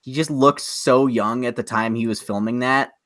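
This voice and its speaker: A man's voice: mid-range voice, fairly even intonation, normal speed, a bit bright. A man co-hosting a podcast, chatting casually about movies in a rambling, opinionated way. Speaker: podcast co-host.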